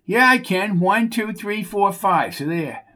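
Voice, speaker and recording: A man's voice: sits high, lively intonation, measured pace, pushed and bright, voice pushed up, exaggerated voice. A man voicing a dim-witted, heavyset male stable hand who sounds whiny, childish and defensive.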